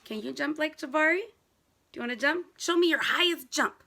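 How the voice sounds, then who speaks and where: A woman reads a storybook aloud to young children in a high-pitched, bright voice. She is animated and interactive, putting questions to her listeners and repeating words for drama. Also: fairly quick, vocal fry, a little hiss or hum. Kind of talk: animated, interactive picture-book read-aloud.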